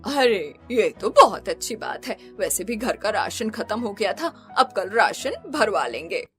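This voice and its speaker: A woman's voice: mid-range voice, sing-song, a little slow, soft background music. A woman voicing a fretful, thrifty older mother-in-law who speaks in exclamations in a cartoon. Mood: pleased. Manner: fretting, exclamatory.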